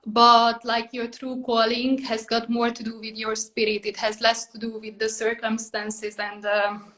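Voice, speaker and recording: A woman in her thirties with a Hungarian accent hosts a relaxed interview. Her voice sits fairly high but barely moves in pitch, and her sentences drift along, padded with "like" and "basically". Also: unhurried. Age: 30s. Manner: many "like" and "basically" fillers, loose phrasing. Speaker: podcast host. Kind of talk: casual interview chat.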